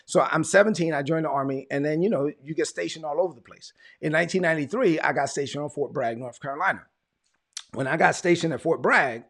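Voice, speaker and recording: A middle-aged American man giving an informal, conversational sermon of personal testimony, telling it like an animated story and repeating phrases for emphasis. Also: a little high. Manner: animated storytelling, acts out quoted dialogue, conversational.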